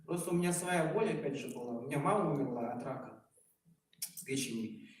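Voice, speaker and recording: A man in his forties giving an informal promotional talk as a doctor, speaking in a halting, emphatic and self-ironic way, recorded from a distance in a room. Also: mid-range voice, a little mellow. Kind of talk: informal public testimonial talk. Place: echoey indoor presentation room. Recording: very echoey room, far microphone, noisy.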